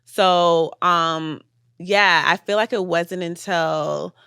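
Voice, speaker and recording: A young woman from the States chats on a podcast with a slightly low voice, thoughtful and loose, full of casual fillers. The sound is close and dry, with no room around it. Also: fairly even intonation, slow pace, bright, forceful tone, clear, resonant tone, dry recording. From United States. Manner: reflective, casual, many fillers. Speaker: podcast guest, filmmaker.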